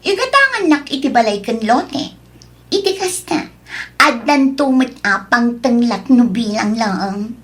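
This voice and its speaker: A woman playing a demanding, selfish mistress in an Ilocano radio drama, coaxing and haughty, quick to snap. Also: fairly high pitch, animated, fairly bright tone.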